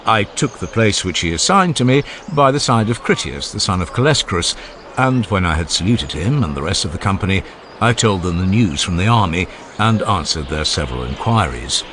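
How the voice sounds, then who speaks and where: Male narrator, lowish pitch, lively intonation, measured dramatized delivery of classical prose over faint background sound. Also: noisy background. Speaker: audiobook narrator. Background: faint ambient background sound.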